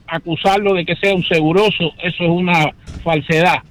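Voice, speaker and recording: A man calling in to a radio show, giving his opinion in an earnest, measured way. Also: high voice, steady tone, fairly bright tone, poor audio. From Cuba. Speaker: caller.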